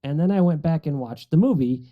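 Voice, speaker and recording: A man giving measured, even-handed opinions in a casual podcast panel chat. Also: on the high side, lo-fi sound. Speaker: podcast co-host.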